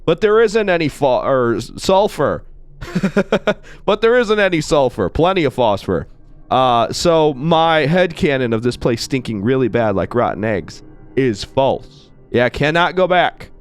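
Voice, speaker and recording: A man's voice: mid-range voice, big pitch swings, measured pace, no room sound, faint music behind. A man playing a game and chatting through it, playful and rambling, still getting over losing his voice. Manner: playful, rambling, self-mocking. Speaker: gaming channel host. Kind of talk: Let's Play commentary with character voices.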